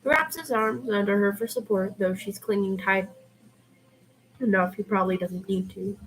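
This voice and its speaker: Woman reading fiction aloud, clearly noisy recording. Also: medium pitch, normal speed. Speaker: podfic reader and live streamer. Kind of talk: reading fan fiction aloud with casual livestream asides.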